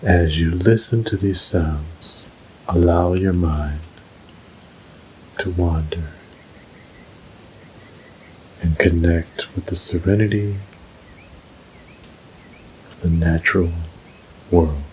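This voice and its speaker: A man narrating a scripted guided meditation in a soothing, measured, unhurried way. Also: low voice, darkish tone, a little hiss or hum, low-quality recording.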